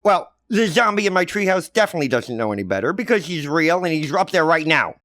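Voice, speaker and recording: A wry, gloomy little boy, as a man reading a storybook puts him on, voiced high with lots of lift and dip. He sounds dry and close, no room around him. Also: animated, fast, a bit bright, dry recording. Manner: dry, warning, sarcastic.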